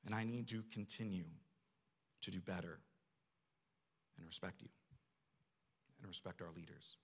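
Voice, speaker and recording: A man's voice: deep voice, moderate pace, creaky, husky. A man preaching a sermon to a congregation in a conversational, self-deprecating way, often posing rhetorical questions. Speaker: church staff member preaching.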